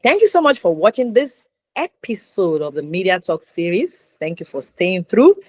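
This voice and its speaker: A woman's voice: on the low side, big pitch swings, normal speed, no echo. Nigerian woman hosting, dry close sound. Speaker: talk show host. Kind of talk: conversational interview show hosting.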